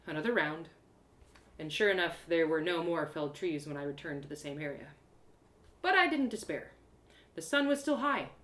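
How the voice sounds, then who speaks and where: A woman narrating a first-person adventure story in an expressive, theatrical way with dramatic pauses. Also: medium pitch, roomy sound. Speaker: storyteller performing own one-woman audio drama.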